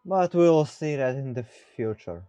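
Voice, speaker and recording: A man's voice: medium pitch, expressive, unhurried, warm tone, lo-fi sound, faint music behind. A man who is a non-native English speaker, giving casual gameplay commentary, thinking aloud somewhat hesitantly. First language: not English. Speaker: gaming streamer.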